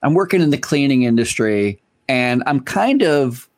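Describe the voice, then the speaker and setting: A man's voice: medium pitch, lively intonation, moderate pace, darkish tone. A man hosting an interview podcast, talking with energy and stressing his points with repeated phrases. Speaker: podcast host. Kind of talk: conversational interview.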